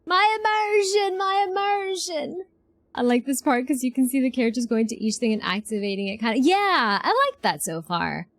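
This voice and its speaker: A woman's voice: sits high, moderate pace, no echo. A woman streamer giving casual, playful live reactions to a game, often repeating short phrases. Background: game music.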